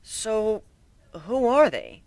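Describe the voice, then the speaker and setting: A man voicing a sensible, businesslike young female pony in a dramatic story reading, measured and tactful. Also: sits high, huge pitch swings, vocal fry, darkish tone, no room sound, voice pushed up, exaggerated voice. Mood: curious.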